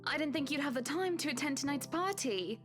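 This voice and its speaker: An actress playing a poised socialite hostess in a visual novel game, speaking with polished, gracious politeness. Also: female voice, sits high, animated, a little fast, fairly bright tone. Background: game music.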